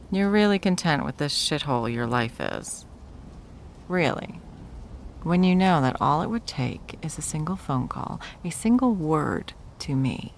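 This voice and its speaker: Female actor as menacing, possessive executive ex for ASMR roleplay; low-pitched, vocal fry, sardonic and condescending. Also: slight background noise, heavily acted. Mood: exasperated, pressing. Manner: condescending, sarcastic, drawling sweet talk. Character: wealthy, possessive corporate executive ex-girlfriend, mocking and menacing. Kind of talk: ASMR roleplay, dramatic monologue.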